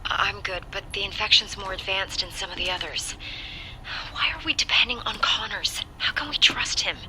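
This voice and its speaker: A woman's voice: medium pitch, lively intonation, moderate pace, music in the background, close to an everyday voice, poor audio. An actress playing a brave but anxious young woman trapped in quarantine in a video game, speaking earnestly and urgently. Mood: weary, worried. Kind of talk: video game cutscene acting.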